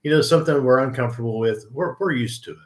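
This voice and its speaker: A man's voice: medium pitch, fast. Oklahoma guy in his 60s, rambly and folksy, lots of "you know". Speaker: co-host of a live online training. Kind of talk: casual live-stream chat.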